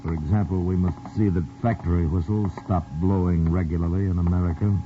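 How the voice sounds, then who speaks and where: An actor playing a cold, commanding communist boss in a 1950s radio drama, measured and curt. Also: male voice, sits low, fairly even intonation, close to an everyday voice. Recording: tinny old radio broadcast, faint hiss.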